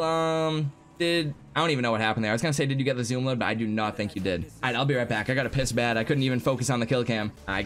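A young man in his twenties live-streaming a game from his home setup, chatting excitably with viewers, swearing freely and going hoarse. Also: medium pitch. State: losing his voice. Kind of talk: live gaming stream chat. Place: home gaming room.

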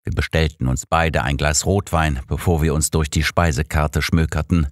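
A man's voice: a bass voice, steady tone, moderate pace, dry recording, studio quality. A man reading a detective story as scripted audiobook narration.

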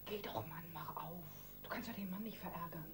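A woman's voice: on the low side, brisk, noisy background, natural voice. An actress in a German radio crime drama playing a calculating, insistent wife who works on her husband with emphatic persuasion. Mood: coaxing.

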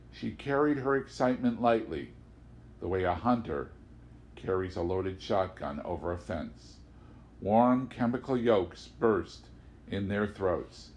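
A man reading literary prose aloud as an audiobook narrator, with an expressive, theatrical delivery. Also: on the low side. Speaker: audiobook reader.

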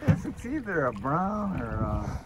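A man's voice: a little high, wildly swooping pitch, unhurried, a crowd in the background. A man chatting casually outdoors while fishing. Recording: very noisy outdoor water, off-mic.

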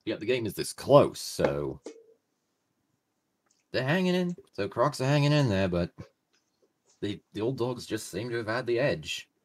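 A man with a slightly raspy voice as color commentator on a video game match, casual and quick to react. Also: medium pitch, sing-song.

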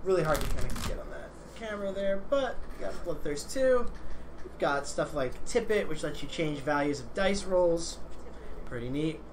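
A man's voice: a little high, lively intonation, moderate pace. A man co-hosting a board game livestream with casual, playful banter and commentary. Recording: noisy room livestream.

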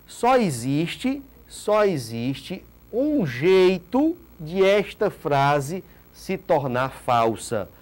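A lively male teacher in his thirties speaks from a studio set, his fairly high voice rising and falling as he asks rhetorical questions. He hammers key points home by repeating them, a lapel mic keeping him close and clean. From Ceará, Brazil. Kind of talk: recorded online exam-prep lecture.

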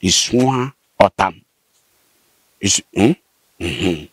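An older man with a southern Nigerian accent, explaining local history conversationally and haltingly, with affirming hums. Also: low voice, expressive, breathy edge, dry recording, studio quality. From Rivers State, southern Nigeria. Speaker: interviewee, local elder. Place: outdoors, seated by corrugated metal fence. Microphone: handheld interview mic held by host.

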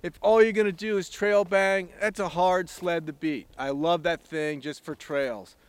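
A man's voice: sits high, steady tone, a little slow, clear, resonant tone, dry recording. A man giving an enthusiastic, plainspoken review outdoors on a snowy farm, talking casually and a bit rambling. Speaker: snowmobile reviewer.

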